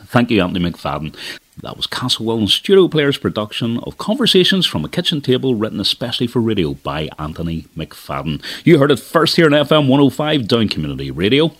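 A man presenting a community radio show. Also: low-pitched. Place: radio studio.

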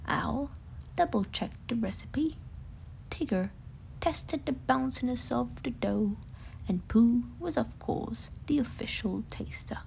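A woman narrating a children's bedtime story in an expressive, breathy way. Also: a little high, takes it slowly, in a whisper, poor audio.

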